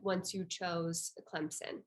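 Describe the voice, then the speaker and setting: A woman's voice: fairly low pitch, flat delivery, takes it slowly. A young woman working as an interviewer, asking questions in a casual, conversational style.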